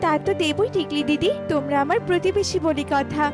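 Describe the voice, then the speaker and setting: Woman as a high-voiced, sensible little cartoon bird. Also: fairly quick, lightly acted. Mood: kind, teasing. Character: small, sensible, kindly female cartoon bird neighbour. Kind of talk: children's cartoon voice acting. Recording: music behind the voice.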